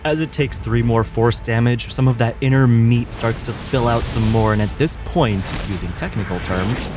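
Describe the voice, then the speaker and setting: A man narrating as game master in a tabletop game, describing monsters and results calmly. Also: medium pitch, moderate pace, music in the background.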